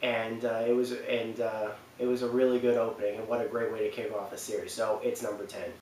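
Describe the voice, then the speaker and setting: A man's voice: on the low side, flat delivery, a little fast, darkish tone. A man hosting a gaming countdown with casual, unscripted commentary, rambling and often repeating himself. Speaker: host of a gaming video countdown. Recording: roomy, distant mic, faint noise.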